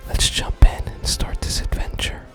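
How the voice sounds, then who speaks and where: A person whispering softly while hosting an ASMR video game playthrough and reading game text aloud. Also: normal speed. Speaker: host of a gaming ASMR channel. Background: game music.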